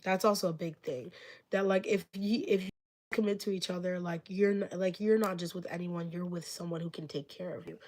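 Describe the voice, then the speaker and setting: A woman's voice: fairly low pitch, steady tone, fairly quick, darkish tone. Rambling woman tarot reader, voice fading. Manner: rambling, heavy with filler words; gushing thanks to viewers. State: losing her voice from illness. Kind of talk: live-stream tarot reading.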